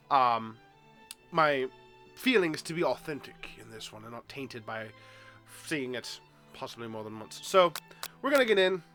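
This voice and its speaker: A let's-play host talks through a game, nose stuffed up from a cold. The voice is bright and animated and picked up close on a headset. Faint guitar music sits underneath. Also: mid-range voice. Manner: chatty, reads on-screen text aloud with asides. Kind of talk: casual gameplay commentary. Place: home gaming setup.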